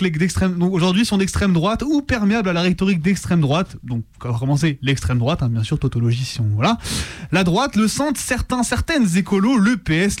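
A man's voice: high-pitched, darkish tone. A man with a French accent giving sarcastic, blunt, slangy opinion commentary as a radio presenter. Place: radio studio.

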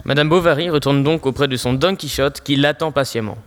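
A man's voice: mid-range voice, fairly quick, close to an everyday voice. A teenage boy playing a wry, mock-solemn narrator of a comic audio story.

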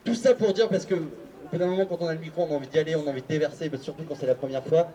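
A man's voice: fairly high pitch, steady tone, rapid-fire, dark tone, distant mic, lo-fi sound, some chatter behind. A man giving an earnest, repetitive speech to an open-air assembly under a tarp. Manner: earnest, repeats himself. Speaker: speaker at a public assembly. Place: outdoor square under a tarp.

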